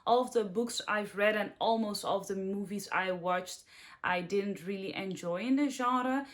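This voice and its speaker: A young woman in her twenties speaks English with a Dutch accent. She talks in a chatty, upbeat, slightly rambling way, like a casual book vlog to her viewers. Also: mid-range voice. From Netherlands. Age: 20s. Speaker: book vlogger.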